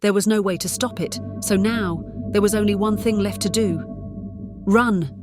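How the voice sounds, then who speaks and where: A woman's voice: mid-range voice, normal speed. A woman reading scripted fiction as a dramatic audiobook narrator. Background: music.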